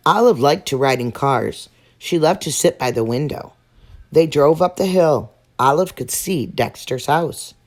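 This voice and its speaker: A woman's voice: sits low, animated, measured pace. A woman storyteller reading a children's picture book aloud.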